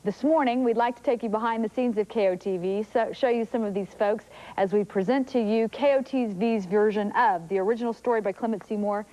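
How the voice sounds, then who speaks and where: A woman's voice: fairly high pitch, fairly quick, few pauses, dark tone. A woman presenting a morning news broadcast as anchor, chatting with a co-anchor on an old lo-fi television tape. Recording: lo-fi old VHS television recording.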